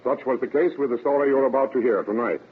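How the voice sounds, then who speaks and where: A man's voice: medium pitch, fast talker, husky. A man speaking as a sheriff, giving a stiff, formal scripted radio address to introduce a case. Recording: muffled, phone-like vintage radio broadcast.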